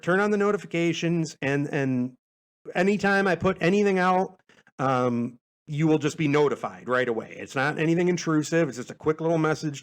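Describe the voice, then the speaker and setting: A man hosting a podcast, chatting casually in a laid-back, informal, rambling way. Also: on the high side. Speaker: podcast host. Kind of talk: casual rambling solo podcast sign-off chat.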